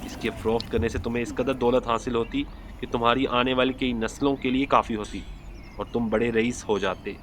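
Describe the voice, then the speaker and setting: A man's voice: mid-range voice, steady tone, lightly acted. Man voicing a wise little female sparrow, noisy recording. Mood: scolding. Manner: admonishing, deliberate, repeats her advice. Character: small wise female sparrow, reproachful counsellor. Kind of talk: storybook moral tale dialogue.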